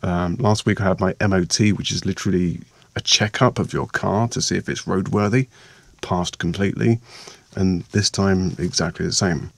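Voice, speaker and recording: Hoarse guy rambling thru his vlog, kinda low voice, pokes fun at himself. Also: no echo. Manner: chatty, rambling, self-mocking. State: hoarse, croaky voice from a sore throat. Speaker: vlogger and meditation maker. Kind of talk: casual vlog narration.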